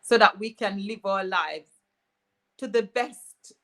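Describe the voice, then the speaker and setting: A woman who grew up in Jamaica appears as a doctor on a talk show. Her voice is mid-pitched, and she speaks in a measured, thoughtful way, breaking into light laughter. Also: a bit bright. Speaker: guest doctor. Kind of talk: casual interview talk.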